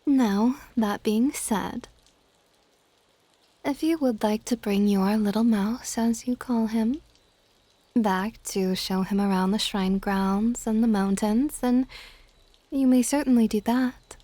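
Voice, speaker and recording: Stately older dragon goddess played by a woman, unhurried and mellow, fondly exasperated, formal and soft, for ASMR audio roleplay. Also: fairly high pitch, no room sound. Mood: conceding. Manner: soft-spoken, breathy asides, formal wording.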